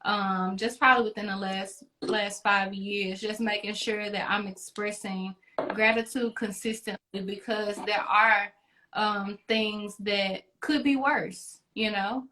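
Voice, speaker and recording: A woman's voice: medium pitch, steady tone, slow pace, bright, forceful tone, slight background noise, rough recording. A woman hosting a casual beauty chat, talking in a playful, encouraging and chatty way. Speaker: beauty livestream host.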